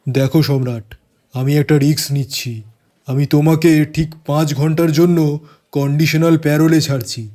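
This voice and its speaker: An actor playing a shrewd, stern police inspector in an audio drama, measured and authoritative, dropping in English phrases. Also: male voice, on the high side, steady tone, dark tone, natural voice. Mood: stern warning. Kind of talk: audio story acting.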